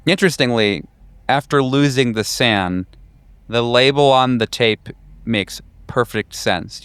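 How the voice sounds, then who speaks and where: A man narrating a tabletop horror game as game master, in a measured storytelling style. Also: lowish voice, fairly bright tone, clean tone, dry recording. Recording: faint music under the voice. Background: music.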